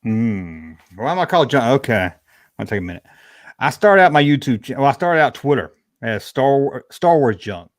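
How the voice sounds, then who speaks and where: A middle-aged man from the American South chats as a guest on a livestream, with a clear Southern accent. His talk is folksy and colloquial, in a medium-pitched voice, and the sound is close and dry. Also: very animated, normal speed, no echo. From Georgia, US South. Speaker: livestream guest, toy collector. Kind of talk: casual chat, answering viewer questions.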